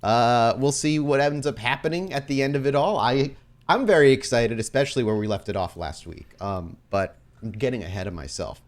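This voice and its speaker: Male podcast host, mid-pitched, casual and excited, chatting through announcements with an easy joking manner. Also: fairly quick. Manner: informal, enthusiastic, jokey. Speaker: podcast host and game storyteller.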